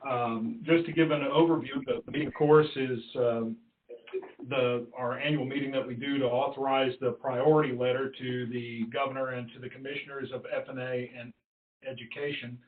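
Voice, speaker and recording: A man speaking haltingly and politely as a staff official running a formal committee meeting on a conference call. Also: mid-range voice, steady tone. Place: conference call, roomy sound. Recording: muffled, echoey conference call line.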